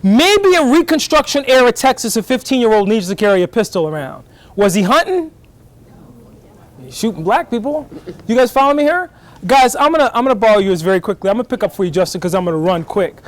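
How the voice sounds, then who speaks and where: A middle-aged man giving a lively college lecture in fast bursts, often checking whether the class is following. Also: very high voice. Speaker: guest lecturer, professor.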